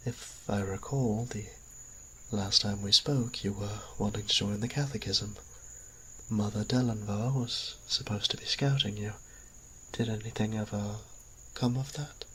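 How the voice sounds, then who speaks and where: A man playing a kindly, genteel vampire priest in an audio drama, speaking politely and courteously with pauses mid-phrase. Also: lowish voice, fairly even intonation, pushed and bright, slight background noise, low-quality recording. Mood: polite, curious. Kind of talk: scripted audio roleplay drama.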